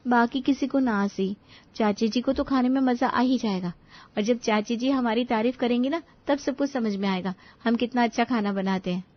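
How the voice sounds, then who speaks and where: A woman voicing an eager, naive young daughter-in-law, respectful and earnest, in an animated moral story. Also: on the high side, moderate pace, dull audio, lightly acted. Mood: eager, proud.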